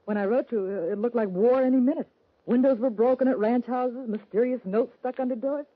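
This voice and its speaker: A woman's voice: a little high, brisk, breathy edge, dry recording. A woman playing a worried, earnest western townswoman in an old-time radio drama, speaking respectfully. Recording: dull old radio recording.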